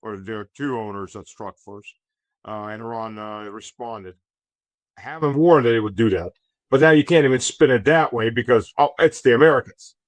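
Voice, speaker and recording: A man giving informal political analysis as an interview guest, speaking haltingly with frequent hesitations. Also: mid-range voice, dry recording, muffled recording.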